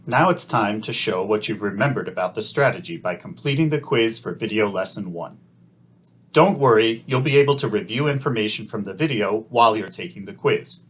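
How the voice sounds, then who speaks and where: Man, middle-aged, lowish voice, a bit husky, calm scripted lesson narration, measured. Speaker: teacher trainer.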